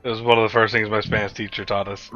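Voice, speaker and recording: A man's voice: lowish voice, level tone, fast talker, a bit bright, dull audio, soft background music. A man playing in an online tabletop game, chatting casually and joking crudely with free swearing.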